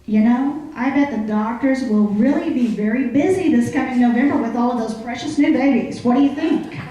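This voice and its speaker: A woman's voice: a little high, brisk, dark tone. A woman delivers a folksy comic commentary. She is conversational and a little coy, and the live stage recording is heavily echoed. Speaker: comic radio-show commentator. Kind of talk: live humorous monologue.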